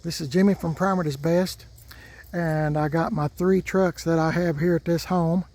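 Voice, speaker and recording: Man in his 60s, folksy and drawling, mellow tone, slightly raised pitch, casual outdoor vlog. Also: steady tone, normal speed, dry recording. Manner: folksy, drawling, repeats himself. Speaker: truck channel host. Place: outdoors by parked trucks.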